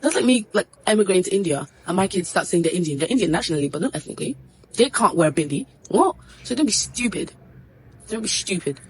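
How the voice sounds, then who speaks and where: A woman's voice: mid-range voice, lively intonation, fast, husky, poor audio. A woman ranting to camera in a blunt, exasperated, scolding way. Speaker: social media commentator. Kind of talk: ranting direct-to-camera video.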